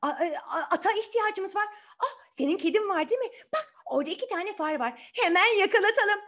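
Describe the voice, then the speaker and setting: Woman acting a lively high-voiced fairy godmother. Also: a little fast. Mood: busy, scheming. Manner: exclaiming, instructing, chanting spells. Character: kindly, bustling female fairy godmother, excitable magic-worker.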